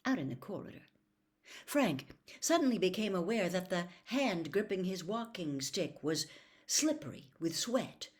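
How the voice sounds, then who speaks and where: Female audiobook storyteller: unhurried, theatrical, highly varied intonation, medium pitch. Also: sing-song. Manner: theatrical, deliberate. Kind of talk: dramatic audiobook narration.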